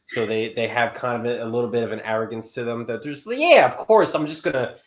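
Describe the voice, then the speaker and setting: A man from America, a writer and guest, talking casually and explaining his views in measured steps. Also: medium pitch, expressive, reverberant. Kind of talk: casual live-stream chat.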